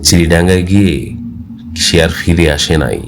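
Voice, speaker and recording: A man's voice: low voice, unhurried, music in the background. A man narrating a scripted horror story for a cartoon.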